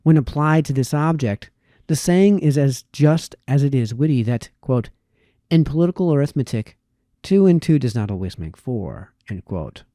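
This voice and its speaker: A man reading formal historical prose aloud in a measured, deliberate way, with occasional stumbles over words. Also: medium pitch, lively intonation, dark tone, no echo, studio quality. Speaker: reader, host of a reading series.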